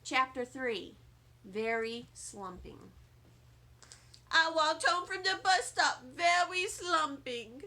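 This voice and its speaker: Lady reading a kids book out loud, high bright voice, takes her time, expressive. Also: slow. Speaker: storybook reader, teacher. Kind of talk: expressive children's read-aloud narration.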